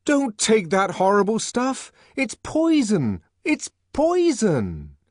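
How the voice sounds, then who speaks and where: A man reading an audiobook, voicing an earnest young man who pleads in a loud ringing voice. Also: high-pitched, huge pitch swings, slow, dry recording, clean studio sound, pitched above the performer's own voice, heavily acted. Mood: pleading.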